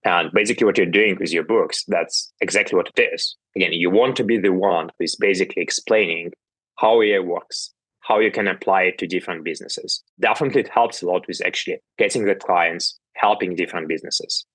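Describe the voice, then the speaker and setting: Deep husky-voiced eager male host. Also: fairly quick, fairly bright tone, no room sound, poor audio. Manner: eager, repeats basically and definitely. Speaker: podcast host. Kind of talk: interview questions.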